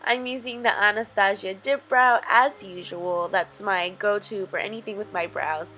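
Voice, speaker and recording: Young woman, American accent, nasal and congested, chatty tutorial talk, medium pitch, echoey room, distant mic. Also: moderate pace, bright, forceful tone, a little hiss or hum. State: congested from allergies. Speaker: beauty vlogger. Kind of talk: casual makeup tutorial talk-through. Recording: roomy echo, distant mic, faint music. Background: music.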